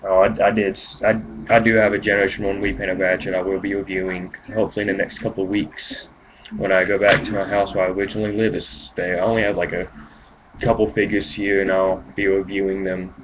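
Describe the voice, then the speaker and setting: A teenage young man with a fairly low voice talks through a casual home video review. His articulation is unclear from a speech impediment, and he moves in halting, self-conscious phrases. The camera recording is muffled, with clear noise throughout. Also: flat delivery. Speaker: amateur toy reviewer on a video channel.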